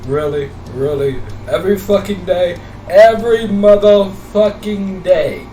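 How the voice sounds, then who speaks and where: A man's voice: high voice, a little slow. A man reacting casually to a game, ranting and swearing, repeating his phrases for emphasis. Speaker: gameplay streamer reacting to a visual novel. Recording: echoey, distant mic with loud background noise.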